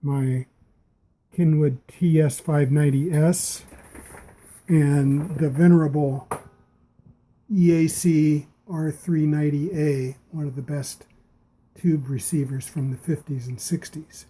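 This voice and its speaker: Slow-talking man with a very muffled, dark voice. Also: a little high, steady tone. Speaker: amateur radio operator demonstrating receivers. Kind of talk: casual product demonstration. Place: small indoor radio room.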